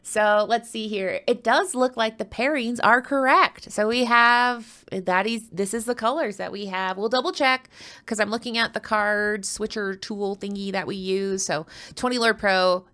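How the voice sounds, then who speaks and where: Female livestream commentator from the United States, mid-pitched, casual and playful, prone to rambling asides and banter. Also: a bit bright. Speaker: livestream card tournament commentator.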